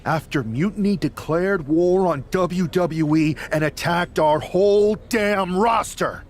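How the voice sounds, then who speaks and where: A man's voice: high-pitched, takes it slowly. A man playing a confrontational, scornful, hot-tempered wrestler in a video game. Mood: angry, defiant. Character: defiant, hot-tempered loyalist male wrestler. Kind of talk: video game cutscene dialogue.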